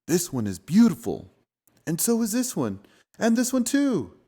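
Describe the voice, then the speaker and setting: Man playing curious storybook boy, raised pitch, very lively swings, questioning, exclamatory. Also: measured pace, husky, full character voice. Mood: amazed, excited.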